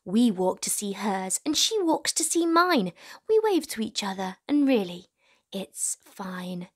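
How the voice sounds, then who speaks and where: A woman's voice: medium pitch, sing-song, a little slow, slightly rough. A woman in her twenties hosting a children's storytime, reading and talking to young viewers in an encouraging way. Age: 20s. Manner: encouraging, addressing children directly. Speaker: children's storytime host and reader.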